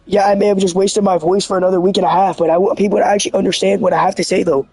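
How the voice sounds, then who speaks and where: A man with a hoarse, scratchy voice, rambling earnestly and excitably as a livestreamer. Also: high voice, rapid-fire, no room sound, soft background music. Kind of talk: casual livestream monologue.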